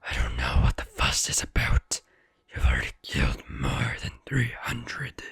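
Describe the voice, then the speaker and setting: A man voicing an ancient, gruff and wise giant fox spirit in a slow, breathy, raspy growling whisper for a story narration. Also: rumbling low, big pitch swings, a bit bright, dry recording, voice pushed down, exaggerated voice. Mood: gruff, dismissive. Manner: slow, grave, weighty delivery.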